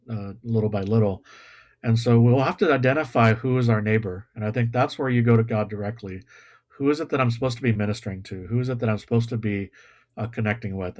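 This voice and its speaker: A man preaching a sermon with a somewhat low voice. He talks in a loose, conversational way and makes self-deprecating jokes and pop-culture references. He is speaking to a congregation gathered online. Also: darkish tone. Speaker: pastor. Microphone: video call or livestream.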